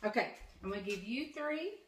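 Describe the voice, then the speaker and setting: A woman's voice: fairly high pitch, expressive, normal speed, background noise. A woman in her fifties, a grandmother, rambles cheerfully to the camera in her home kitchen. She talks in a loose, chatty way, full of fillers and restarts, recorded on a camera close by. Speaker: farm vlogger, grandmother. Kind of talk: casual vlog chat. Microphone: camera close up, then further off at the counter.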